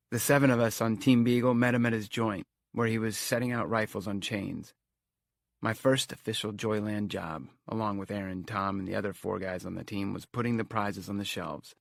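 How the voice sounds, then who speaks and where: A man's voice: on the low side, fairly even intonation, moderate pace, gravelly, no echo, clean studio sound. A man reading a first-person story as an audiobook, in a reflective storytelling manner. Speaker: audiobook narrator. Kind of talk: scripted audiobook narration.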